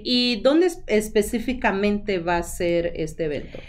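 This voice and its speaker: A woman hosting a community morning show, chatting casually with her guests and often pausing on fillers. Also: mid-range voice, expressive. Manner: warm, chatty, frequent fillers like "ah" and "am". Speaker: host. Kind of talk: casual chat show interview.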